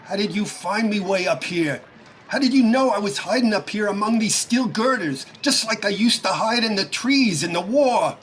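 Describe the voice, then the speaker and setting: Man acting agitated high-voiced young gunman, radio drama. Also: expressive, normal speed, a bit bright, slight background noise. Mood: startled, insistent. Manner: agitated, slangy, childlike insistence. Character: obsessed young ex-soldier sniper loyal to his brother.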